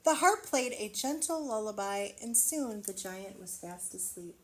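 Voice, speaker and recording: A woman reading a storybook aloud to children, expressively, pausing to wonder aloud and explain things simply. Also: mid-range voice, expressive. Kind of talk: children's read-aloud with asides to the listener.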